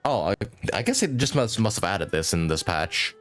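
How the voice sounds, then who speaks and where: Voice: low-pitched, big pitch swings, moderate pace, a bit bright, soft background music. A game streamer giving casual, giggly live commentary while musing aloud.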